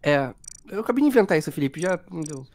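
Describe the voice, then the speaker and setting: A man's voice: fairly high pitch, very animated, quick pace, darkish tone. A man who has a cold, trading jokes and playful trash talk during a game call. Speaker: regular player. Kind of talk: casual gaming banter.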